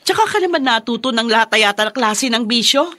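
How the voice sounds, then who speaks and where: A woman's voice: on the high side, lively intonation, fairly quick, bright, forceful tone, no room sound. A Filipino woman playing an indignant, nagging wife who confronts her drunkard husband in a radio drama. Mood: scolding.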